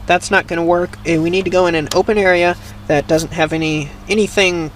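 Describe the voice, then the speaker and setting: A man with a slightly high-pitched voice presents a practical how-to. He explains each step plainly and methodically. There is some background noise with vehicle ambience around him. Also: steady tone, a little fast, a bit bright. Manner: plain, methodical instructional delivery. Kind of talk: hands-on tutorial, explaining step by step.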